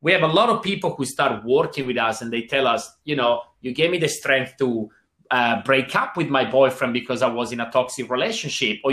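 Bright Swiss-accented man in a roomy space. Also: mid-range voice. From Switzerland. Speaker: guest, business coach. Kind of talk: casual interview answers.

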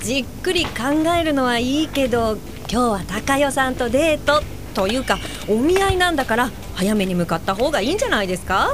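A woman's voice: high-pitched, expressive, a little fast, few pauses, long reverb tail, background noise. An actress playing a polite, well-meaning but easily flustered female guide in an audio drama. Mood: helpful. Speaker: actor and first-person narrator. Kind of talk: Japanese audio drama dialogue and narration.

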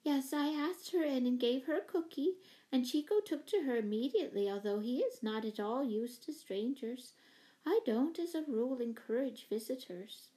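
A woman's voice: sits high, moderate pace, mellow, voice pushed up, lightly acted, poor audio. A woman voicing a fluttery, anxious, apologetic older spinster in a children's storybook, gushing and flustered. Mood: grateful, earnest.

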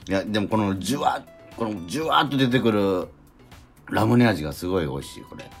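A man's voice: on the low side, lively intonation, brisk, creaky, soft background music. A man hosting a casual radio chat, agreeable, often chiming in and asking follow-up questions. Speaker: radio show host and actor.

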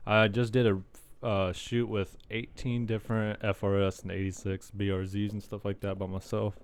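A man's voice: on the low side, level tone, normal speed, clean tone, dry recording. A man in casual podcast chat, talking in a loose, profane, laid-back way. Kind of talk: casual chat about car builds.

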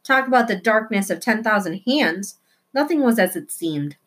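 A woman narrating a children's novel in an expressive read-aloud style. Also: mid-range voice, moderate pace.

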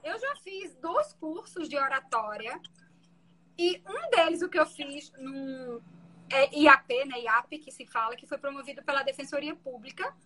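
A woman defense lawyer with a soft voice, explaining her courtroom methods in an interview. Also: high-pitched, expressive, a little slow, low-quality recording.

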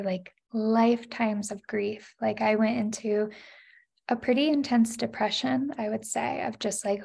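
A woman's voice: medium pitch, gravelly, darkish tone. A woman in her thirties, a podcast guest, sharing a personal story in an earnest, reflective way, with many "you know" and "like" fillers.

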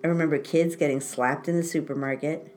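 Low-voiced wry woman storyteller. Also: fairly even intonation, brisk, darkish tone. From born in Italy, grew up in France. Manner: wry, self-mocking, laughs often. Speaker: podcast host telling personal stories. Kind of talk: casual storytelling chat.

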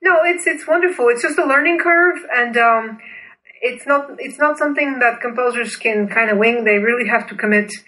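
A woman from Bulgaria, a native Bulgarian speaker, giving earnest, enthusiastic and deliberate explanations as an interview guest. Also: on the high side, pushed and bright, rough recording. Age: 30s or older.

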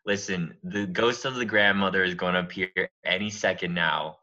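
A man playing a deep-voiced scheming witch girl. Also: steady tone, normal speed, pushed and bright, muffled recording. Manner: sly, wheedling. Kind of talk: improvised audio comedy.

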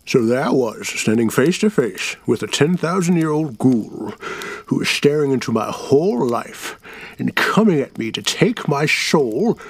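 Guy doing a boastful old man telling ghost stories, husky voice, dry sound, spooky and hammy. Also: medium pitch, sing-song, measured pace, no echo, pitched above the performer's own voice, exaggerated voice. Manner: theatrical, spooky tale-telling. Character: boastful old male storyteller at campfire.

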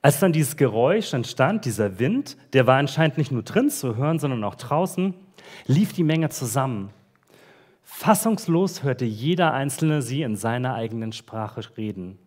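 Casual, animated German pastor preaching. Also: male voice, medium pitch, moderate pace. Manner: lively, conversational preaching with casual slang. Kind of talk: sermon. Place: church service.

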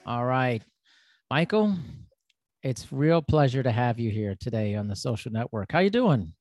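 A man's voice: mid-range voice, very animated, moderate pace, darkish tone, dry recording, studio quality. Laid-back man hosting a podcast. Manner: laid-back, friendly, says "man" a lot. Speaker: podcast host. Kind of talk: casual interview chat.

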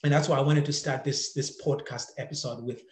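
A man's voice: medium pitch, steady tone, fairly quick, roomy sound. A man from Zimbabwe hosting a podcast, telling his own story earnestly, with many repeated words and restarts. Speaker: podcast host. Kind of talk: personal storytelling monologue.

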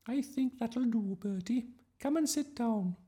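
A man's voice: very high-pitched, measured pace, warm tone, pitched above the performer's own voice. A man voicing a gushing, theatrical young female drama teacher in a children's storybook, sing-song and exaggeratedly polite. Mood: brisk, encouraging. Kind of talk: children's audiobook character voice.

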